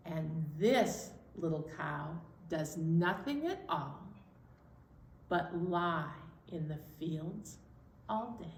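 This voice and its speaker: A woman's voice: on the high side, fairly bright tone. A woman hosting story time for young children, playfully giving simple, repeated instructions. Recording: echoey room, distant mic.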